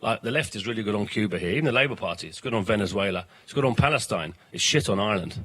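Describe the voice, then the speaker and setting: South london guy, 50s, low voice, rattles on quick, bone dry sound. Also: lively intonation, slightly rough, no room sound. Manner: fast, forceful, repeats words when starting sentences. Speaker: political education organiser, guest. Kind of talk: passionate interview talk, swearing. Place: at a political festival.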